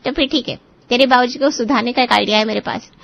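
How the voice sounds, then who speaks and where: A woman's voice: a little high, fairly even intonation, quick pace, gravelly, muffled recording. A woman playing a blunt, scheming young female friend in a cartoon moral story.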